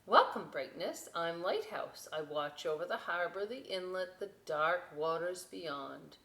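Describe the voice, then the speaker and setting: A woman voicing a steady, watchful female lighthouse in a storybook, motherly, reassuring and cautioning. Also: on the low side, measured pace. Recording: roomy echo, clear background noise.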